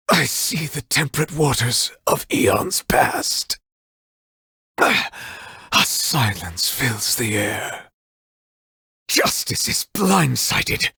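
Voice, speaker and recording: A male voice actor playing a solemn, refined judge of otherworldly nature in a video game, speaking in a formal, measured, eloquent manner. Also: a little high, vocal fry, sharp tone, slightly rough, no room sound, studio quality, exaggerated voice. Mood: grave, intense. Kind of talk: scripted game character voice lines.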